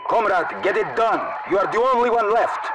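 An actor playing a stern, fervent Soviet special forces announcer in a video game, with a Russian accent and clipped, commanding delivery. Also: male voice, sits high, lively intonation, moderate pace, crowd noise, rough recording. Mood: firm, measured. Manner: clipped, commanding, urgent barked phrases.

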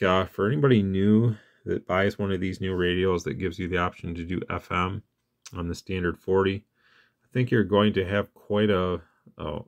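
A man hosting a casual hands-on radio gear demo, talking in a plainspoken, informal way. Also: sits low. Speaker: radio review host. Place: indoors at an equipment bench.

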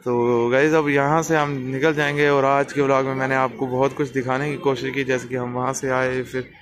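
A man's voice: mid-range voice, flat delivery, brisk, crowd noise. A young man from Jammu and Kashmir, narrating a casual travel vlog into a phone, pointing things out to his viewers. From Jammu and Kashmir, India. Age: young adult. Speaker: travel vlogger. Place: outdoors on busy streets, in vehicles and at monuments. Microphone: phone at arm's length. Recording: noisy city street with traffic.

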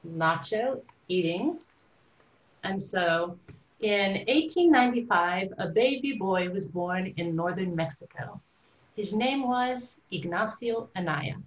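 An older woman, a guest author, reading a children's picture book aloud on a video call. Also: mid-range voice. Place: indoor room with bookshelves, video call. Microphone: computer microphone on a video call. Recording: roomy, echoey video call audio.

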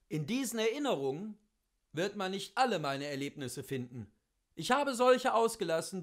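A man reads a literary memoir aloud in a high-pitched voice. His intonation swings widely from phrase to phrase. The delivery is theatrical and expressive, with emphatic, rhetorical phrasing. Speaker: literary reader. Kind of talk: dramatic literary reading of a memoir.

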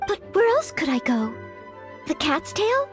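An extremely high-pitched young maid for a video game, earnest and courteous. She is hesitant and self-doubting yet dutiful, and background music plays softly under her words. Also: sing-song, a little slow, heavily acted. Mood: pondering. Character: earnest, anxious young maid aspiring to knighthood.

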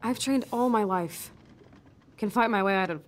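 A woman's voice: medium pitch, moderate pace, close to an everyday voice. An actress playing a wry, self-doubting young female soldier in a video game, with a dry, wisecracking manner. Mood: rueful. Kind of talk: cinematic video game acting.

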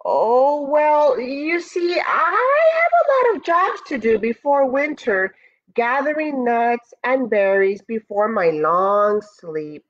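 A woman's voice: high voice, very animated, slow pace, lo-fi sound, voice pushed up, heavily acted. A woman voicing a towering female bear in a children's storybook, busy, hesitant and apologetic with excuses. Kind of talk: children's storybook character reading.

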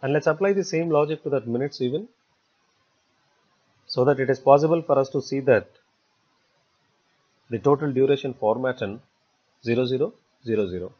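A man narrating a step-by-step software tutorial in a halting way, repeating words as he goes. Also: medium pitch, husky. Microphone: voice-over recorded for screen capture. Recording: dull, muffled lo-fi voice recording.

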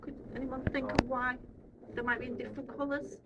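A woman's voice: sits high, little pitch movement, a little fast. A young woman giving an informal explanatory talk to a group, often checking that they follow with tag questions. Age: young adult. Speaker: air traffic controller giving a tour. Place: indoor radar control room. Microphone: handheld camera a short distance away. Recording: distant mic, loud room noise.

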